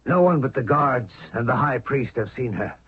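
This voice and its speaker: Cold, very husky scheming minister. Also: male voice, mid-range voice, lively intonation, moderate pace, narrow, tinny audio. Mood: guarded. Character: cold, scheming prime minister, father of the queen. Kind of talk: 1970s radio drama acting. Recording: thin, band-limited vintage radio recording.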